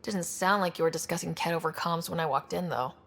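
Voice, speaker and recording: An actress playing a composed, supportive expedition leader in a video game, conversational and understated with a gravelly edge. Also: female voice, lowish voice, fairly quick, a bit bright, in a large hall. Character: composed, supportive young woman expedition leader with dry wit.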